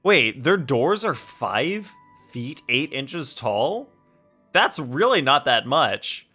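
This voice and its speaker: A young man doing casual live-stream commentary. His voice is bright and medium in pitch with very lively swings, playful and jokey, over faint game music. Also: drawn-out words, breathy edge. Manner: playful, jokey, reads chat aloud.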